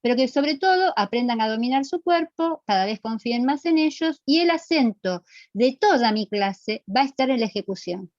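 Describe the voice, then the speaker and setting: A woman's voice: on the high side, expressive, normal speed, few pauses, darkish tone, dry recording, dull audio. A middle-aged woman with a Rioplatense Argentine accent lecturing to students in a didactic, emphatic way, checking they follow with short questions. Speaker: physical education lecturer.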